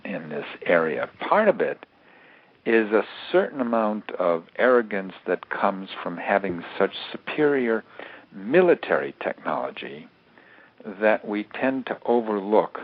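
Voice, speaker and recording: An American man giving analytical answers as an expert interview guest, repeating words haltingly as he builds his points. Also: fairly low pitch. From United States. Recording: narrowband phone-like radio interview line.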